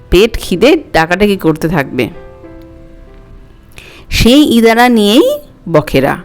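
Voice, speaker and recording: A woman's voice: a little high, darkish tone. A woman reading a story aloud as a scripted audiobook narrator. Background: music.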